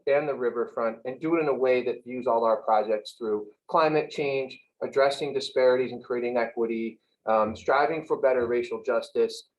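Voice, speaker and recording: A man speaking for a community group, earnest and restarting words as he presents. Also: medium pitch. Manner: earnest, repeats words while searching. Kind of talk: presentation on a video call. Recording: dull lo-fi audio.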